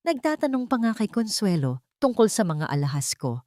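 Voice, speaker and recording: A woman's voice: mid-range voice, big pitch swings, moderate pace, mellow, no room sound, studio quality, voice pushed up, lightly acted. A woman voicing a suspicious, falsely concerned wealthy woman in an audio storybook drama, speaking in hints. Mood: insinuating.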